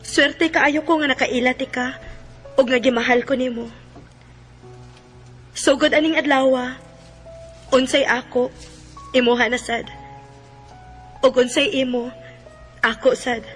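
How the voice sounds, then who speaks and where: A woman's voice: high voice, fairly even intonation, a little slow, long pauses, soft background music. A woman with a Cebuano accent acting a devoted, melodramatic wife and mother in a Bisaya radio drama. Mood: tender, loving. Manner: emotional, melodramatic. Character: devoted wife and mother, explosive when betrayed.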